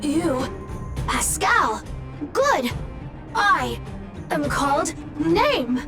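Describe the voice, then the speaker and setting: A woman voicing a small childlike robot in a video game, with confused, broken, halting phrasing. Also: high-pitched, sing-song, full character voice. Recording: music behind.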